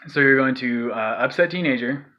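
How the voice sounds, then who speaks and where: A man talks through a vocal technique in a casual, rambling way, full of fillers, restarts and breathy demonstration sighs. His voice sits at an everyday middle pitch. The recording is dull and lo-fi, like a home setup. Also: moderate pace. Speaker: vocal tutorial maker. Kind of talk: casual spoken tutorial.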